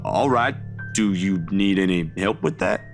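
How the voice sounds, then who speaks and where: A man's voice: low-pitched, measured pace, creaky, faint music behind, pitched below the performer's own voice. A man voicing a polite, hesitant, earnest young man in a visual novel. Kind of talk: visual novel character reading.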